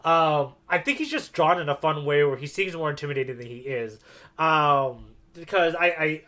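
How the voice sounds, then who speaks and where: Give a man with a slightly high voice, talking as a podcast co-host. He rambles along in casual conversation, with the enthusiasm of a fan. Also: animated, moderate pace, bright, forceful tone. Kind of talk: casual chat.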